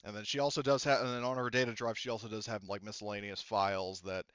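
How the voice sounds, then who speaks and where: A man improvising tabletop game narration as game master, often halting and rephrasing mid-sentence. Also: fairly low pitch, level tone, a bit bright, smooth, dry recording, highs cut off.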